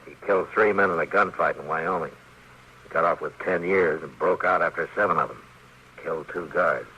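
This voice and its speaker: Deep, brisk Old West lawman. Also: pushed and bright, a little hiss or hum. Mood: dry, matter-of-fact. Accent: American. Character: Old West lawman, steady, commanding, dry-humored grown man. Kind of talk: 1950s Western radio drama acting. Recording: muffled old-time radio recording.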